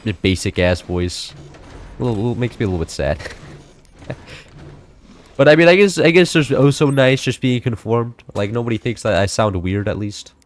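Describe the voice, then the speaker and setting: Teen dude rambling over gameplay, kinda high voice, lots of likes and restarts. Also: male voice, expressive, normal speed, pauses often, slight background noise. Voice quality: slightly high-pitched for a man. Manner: rambling, many fillers and restarts. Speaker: gameplay streamer. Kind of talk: casual chat while playing.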